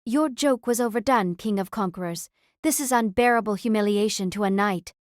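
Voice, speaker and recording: A woman's voice: mid-range voice, brisk, dry recording, clean studio sound, natural voice. A woman playing a proud young female knight king in an audio drama, formal, solemn and stern. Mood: indignant.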